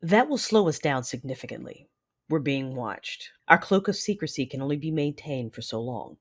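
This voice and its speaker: A woman playing a commanding, coolly menacing Sith lord in an audio drama, speaking in a measured, imperious, formal way. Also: sits low, expressive. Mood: calm, authoritative.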